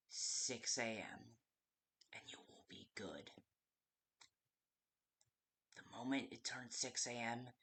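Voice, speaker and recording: Someone playing a slow, halting game guide. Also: medium pitch, bright, forceful tone, slightly rough, heavily acted. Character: hesitant, nervous guide talking a night-shift survivor through danger. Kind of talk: game tutorial monologue.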